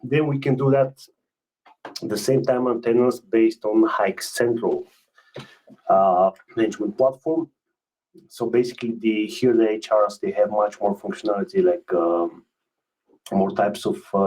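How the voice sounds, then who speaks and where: A man giving a webinar product presentation as a specialist, speaking in a hesitant, halting way and often repeating words. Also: fairly low pitch, fairly even intonation, mellow, rough recording.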